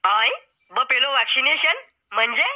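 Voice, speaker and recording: A man's voice: very high-pitched, normal speed, sharp tone, exaggerated voice. A man voicing a sarcastic mock game show host in a cartoon, announcing in a mock-formal tone. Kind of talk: cartoon comedy acting.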